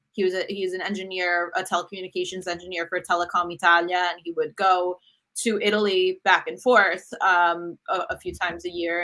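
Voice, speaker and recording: A chatty New woman in her thirties speaks from a room at home over a video call. Her voice is bright and ringing, and she tells her stories in an animated rush, stopping to restart sentences and fill gaps with "um" and "like.". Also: mid-range voice. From New York, US. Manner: animated storytelling, many fillers and restarts. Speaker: guest, cooking show host. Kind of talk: casual video-call interview.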